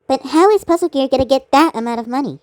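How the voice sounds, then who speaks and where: A woman doing amateur voice acting of conversational dialogue for a fan-made animated cartoon. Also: high voice, quick pace, no echo.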